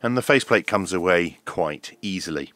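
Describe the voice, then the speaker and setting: A man presenting a hands-on electronics tutorial, explaining step by step in a measured way over background music. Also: deep voice, expressive, a bit bright. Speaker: host of an electronics teardown channel.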